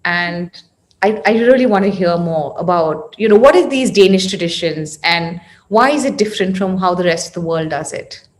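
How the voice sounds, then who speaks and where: A woman's voice: fairly low pitch, moderate pace. A woman hosting an interview, speaking in a conversational, welcoming way as she introduces things. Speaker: interview host, founder of a parenting series.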